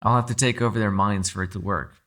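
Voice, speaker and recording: Man voicing a low, crisp-edged manipulative captive entity. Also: fairly even intonation, lightly acted. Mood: calm bargaining. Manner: measured, formal, probing, quietly condescending. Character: captive mind-controlling anomaly, calm, shrewd, persuasive manipulator. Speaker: voice actor. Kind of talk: ASMR roleplay, dramatic monologue.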